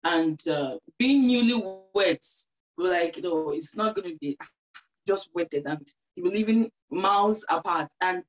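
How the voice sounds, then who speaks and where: A young Nigerian woman guest in a casual interview, cheerful and quick to laugh. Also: lowish voice, poor audio.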